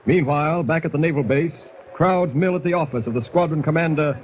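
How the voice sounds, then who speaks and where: A man's voice: a little high, brisk. An American man narrating a 1940s radio drama in a dramatic, suspenseful manner. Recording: dull, faintly noisy 1940s radio recording.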